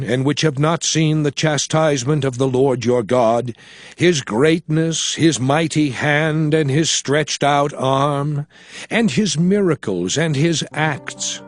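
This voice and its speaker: A man playing a solemn prophet who exhorts his people in a measured, declamatory delivery for a dramatized scripture recording. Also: medium pitch, no echo, studio quality, natural voice.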